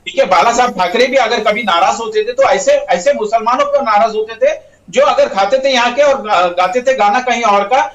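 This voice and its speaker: A roomy, muffled recording of a man some way from the microphone. He speaks in a high-pitched voice, arguing insistently as a political spokesman in a debate and hammering his points by repetition. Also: normal speed, fairly bright tone. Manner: insistent, repetitive, uses tag phrases. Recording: roomy, dull, distant microphone.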